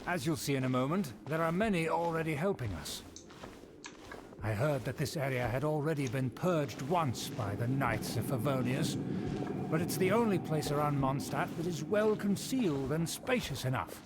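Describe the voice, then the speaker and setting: An actor playing a haughty young male aristocrat who speaks in a formal, pompous, drawn-out way, heard as game audio. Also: fairly high pitch, animated, close to an everyday voice. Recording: game audio with clear noise.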